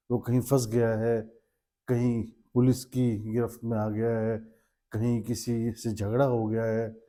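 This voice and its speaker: Dark, mellow-voiced man explaining. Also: medium pitch. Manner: mixes Hindi with English terms. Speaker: presenter. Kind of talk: explanatory awareness talk to viewers.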